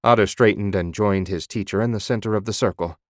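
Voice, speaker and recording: A man narrating a fantasy audiobook in measured storytelling. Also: lowish voice, dry recording, studio quality.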